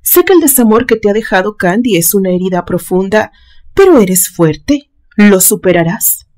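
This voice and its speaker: A woman voicing a gentle, persuasive father in a story, speaking softly and in a measured, coaxing way. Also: mid-range voice, expressive, lightly acted. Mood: gentle, consoling. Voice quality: soft, soothing. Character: gentle, persuasive father consoling his grown son.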